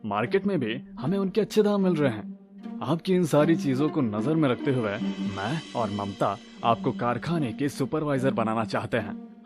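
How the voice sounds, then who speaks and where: A man's voice: medium pitch, natural voice. A man playing a courteous factory owner. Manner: formal, courteous. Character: polite middle-aged male factory owner, a husband.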